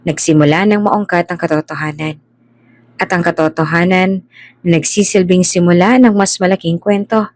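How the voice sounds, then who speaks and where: A woman's voice: lowish voice, steady tone, normal speed. A woman storyteller reading a dramatic scripted narration. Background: music.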